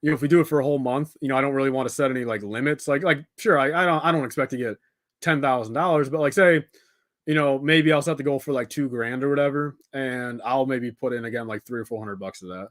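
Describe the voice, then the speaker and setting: A man's voice: medium pitch, quick pace, a bit bright, no room sound, studio quality. Casual, rambling young man livestreaming. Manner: rambling, informal, peppered with dude and man. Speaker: live streamer hosting a building stream. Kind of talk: casual unscripted livestream chat with viewers.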